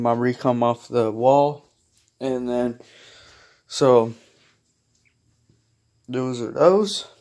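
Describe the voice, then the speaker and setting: Slow, halting man. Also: on the high side, darkish tone. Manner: hesitant, halting, trailing off. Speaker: hobbyist vlogger showing a collection. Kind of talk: casual rambling chat to viewers. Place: small carpeted bedroom, indoors. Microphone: handheld phone or camera held by the speaker.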